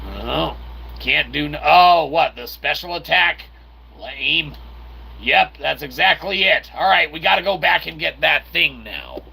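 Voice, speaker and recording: Gravelly man doing playful game commentary. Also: a little high, lively intonation, a little slow, sharp tone, slight background noise. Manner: wordy, mock-formal phrasing with playful sound effects. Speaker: host of a gameplay video channel. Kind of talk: casual game commentary with running jokes.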